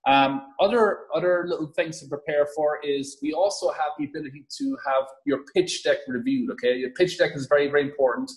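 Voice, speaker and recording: A man from Ireland with an Irish accent, a podcast guest talking up his app in quick, eager bursts full of restarts and fillers. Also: poor audio. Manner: quick, enthusiastic pitch with many restarts and fillers. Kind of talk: casual interview talk.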